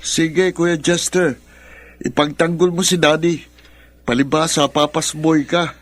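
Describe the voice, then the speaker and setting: A man's voice: on the high side, fairly even intonation, unhurried. A man playing a bitter, sarcastic young adult son who talks accusingly in a radio drama. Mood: resentful. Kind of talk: Filipino radio drama acting.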